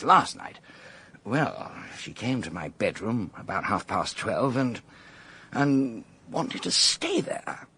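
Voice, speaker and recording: Flippant, swooping young English toff. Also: male voice, mid-range voice, breathy edge, exaggerated voice. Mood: indignant. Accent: upper-class English. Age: young adult. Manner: breezy, slangy, excitable. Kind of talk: period radio drama acting.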